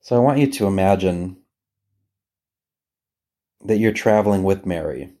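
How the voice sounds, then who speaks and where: A man speaking as a priest leading a guided scripture meditation, gentle and unhurried, with long pauses. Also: sits low, expressive.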